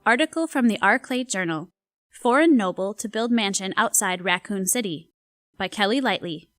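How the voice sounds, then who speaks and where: A woman's voice: medium pitch, lively intonation, normal speed, a bit bright, no echo, soft background music. A woman narrating an audio drama, reading a newspaper article in a scripted style.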